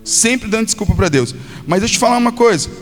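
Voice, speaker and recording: A man's voice: high-pitched, expressive, quick pace. A Brazilian man preaching a sermon as a pastor, addressing listeners directly and exhorting them with repetition and acted-out quotes. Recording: long hall reverb, music behind. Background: music.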